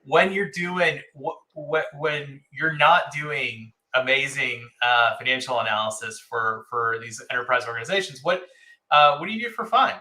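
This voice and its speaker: A man hosting an interview in a casual, upbeat way, often lifting his voice for quick reactions. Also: medium pitch, sharp tone, rough recording. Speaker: host.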